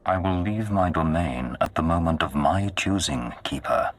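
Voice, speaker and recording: An actor playing a menacing, imperious Sith lord in a video game, speaking with slow, cold command. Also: male voice, rumbling low, expressive. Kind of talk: video game cutscene acting.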